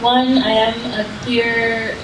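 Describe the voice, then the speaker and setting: Slow, halting woman in an echoey room. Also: a little high, level tone. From Canada. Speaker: panelist, academic. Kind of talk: informal self-introduction at a panel. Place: indoor public panel event. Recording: echoey room, distant mic, noisy.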